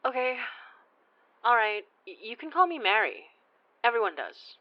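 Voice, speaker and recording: A woman's voice: fairly high pitch, very animated, normal speed, highs cut off. A woman playing a friendly, weary investigator of the supernatural, speaking in a casual, conversational, sometimes hesitant way. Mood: open, casual. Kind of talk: naturalistic audio drama acting.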